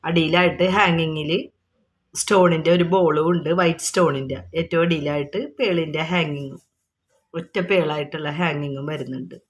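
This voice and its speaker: A woman's voice: low voice, fairly even intonation, fairly quick. A woman with a Kerala accent informally showing products item by item, explaining each and repeating prices in an unscripted, repetitive way.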